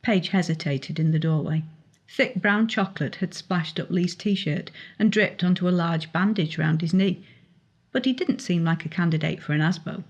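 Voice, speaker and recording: Husky woman narrating a novel. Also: fairly low pitch, normal speed, highs cut off. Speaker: author reading own novel excerpt. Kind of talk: dramatic audiobook narration.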